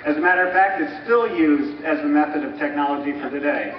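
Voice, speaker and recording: A man giving a scripted, explanatory historical narration in a measured, didactic way, like a presenter at a live dramatization. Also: a little high. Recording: muffled, echoey distant recording with clear noise.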